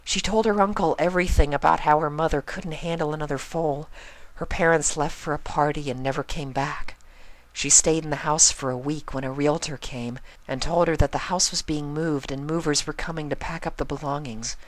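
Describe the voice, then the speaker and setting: A man voicing a shy, gentle young female pegasus pony in a soft, hushed, breathy voice for a cartoon fan story. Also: fairly high pitch, fairly even intonation, moderate pace, no echo, natural voice, poor audio. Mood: quiet, sad.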